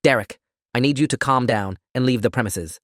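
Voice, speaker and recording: A man's voice: mid-range voice, brisk, a bit bright, dry recording, clean studio sound, natural voice. A man voicing a firm, authoritative female gym manager in an audiobook story.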